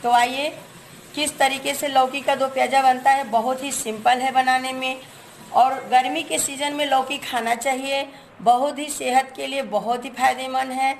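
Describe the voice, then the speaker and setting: Hoarse woman hosting a home cooking video, chatty step-by-step explainer, slight fry in her voice. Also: high voice, fairly even intonation, normal speed, slight background noise, low-quality recording. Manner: chatty, explains each step while showing ingredients. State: hoarse from a cold. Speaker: cooking channel host.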